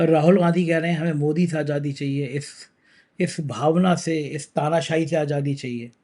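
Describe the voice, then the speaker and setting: A man's voice: fairly high pitch, steady tone, moderate pace, mellow. A man hosting a political news talk show, talking with a sore throat in a conversational, emphatic way.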